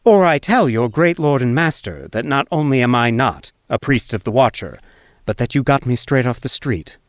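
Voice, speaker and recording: An actor playing a sly, low-class male street minstrel in an audiobook, casual, teasing and mock-deferential. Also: animated, moderate pace, no room sound, studio quality, natural voice. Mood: slyly insistent. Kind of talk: full-cast audiobook acting.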